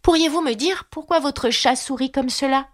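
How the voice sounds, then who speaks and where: A woman's voice: high-pitched, normal speed, pitched above the performer's own voice, lightly acted. A woman voicing a polite, timid and curious young girl in a storybook reading. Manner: polite, earnest, anxious. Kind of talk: audiobook character voice.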